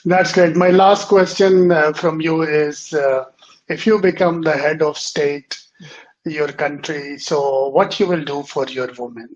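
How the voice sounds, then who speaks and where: A man hosting an interview asks his question haltingly, with hesitant fillers between phrases. His voice is fairly high. The sound is dull and lo-fi, a bit off the mic. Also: fairly even intonation. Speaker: interview host.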